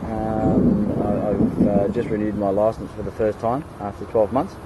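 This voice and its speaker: Low-voiced Australian man on amateur radio. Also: moderate pace. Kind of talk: casual radio chat with spelled callsigns. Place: outdoors in a kayak on a creek. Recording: outdoor recording with steady background noise.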